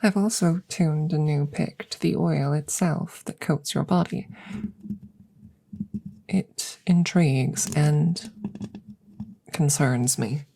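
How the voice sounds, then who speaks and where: Low, breathy alien scientist, played by a woman. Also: full character voice. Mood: intrigued, clinical. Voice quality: breathy, hushed, slipping into whispers. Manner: measured, formal, deliberate phrasing. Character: curious, cautious alien scientist, clinical and politely concerned. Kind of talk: soft ASMR roleplay.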